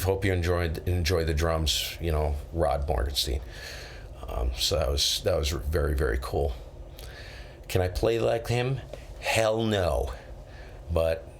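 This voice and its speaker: A middle-aged man with an American accent and a deep voice talks casually over light background noise. He hosts a live stream, rambling through stories and slipping into quoted dialogue as he goes. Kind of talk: casual chat, rambling anecdotes.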